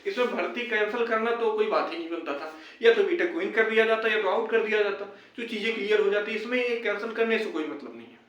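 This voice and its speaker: A man's voice: high-pitched, quick pace, hardly any pauses, fairly bright tone. A young man gives an informal update, explaining and urging with frequent repetition. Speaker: video host addressing fellow job candidates. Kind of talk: informal update and appeal to viewers. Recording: very echoey room, distant microphone.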